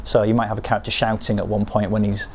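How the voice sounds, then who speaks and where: A man giving an unscripted behind-the-scenes explanation as a game developer, hesitant and halting as he thinks aloud. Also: on the low side, completely flat delivery, a little hiss or hum.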